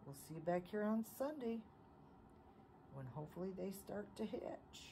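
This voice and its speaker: A woman's voice: medium pitch, expressive, moderate pace, gravelly, mellow. A plain-spoken, folksy woman giving casual home-video narration on a noisy phone recording. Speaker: farm vlogger.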